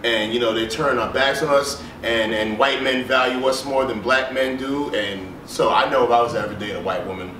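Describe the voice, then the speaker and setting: A man from Brooklyn, New York, talking casually in a group discussion with relaxed, filler-heavy storytelling. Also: medium pitch, brisk, few pauses. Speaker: panelist. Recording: roomy sound from a distance with noise.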